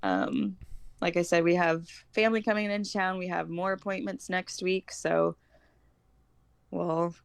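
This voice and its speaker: A woman's voice: lowish voice, steady tone, unhurried, creaky, smooth, no echo. A woman, chatting casually as a guest on a homeschooling podcast. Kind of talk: casual chat.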